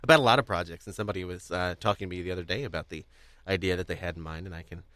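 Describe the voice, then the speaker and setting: A man hosting a conversational podcast interview, speaking in a halting, rambling way as he searches for words. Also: low voice, steady tone, bright, forceful tone, no room sound. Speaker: podcast host.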